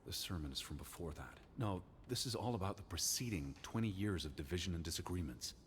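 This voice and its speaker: Low-voiced, bookish stern naturalist. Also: male voice, animated, fairly quick, slight background noise. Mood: grave, thoughtful. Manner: precise, formal, bookish. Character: stern, learned 1920s American naturalist, earnest and skeptical. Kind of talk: naturalistic video game dialogue.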